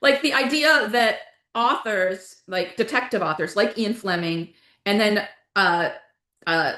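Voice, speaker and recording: A woman's voice: medium pitch, measured pace, sharp tone. A woman speaking casually as an interview guest, explaining her creative work in a rambling way that backtracks mid-sentence. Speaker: guest, author and playwright. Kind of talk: casual interview talk about own work. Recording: roomy, echoey indoor sound.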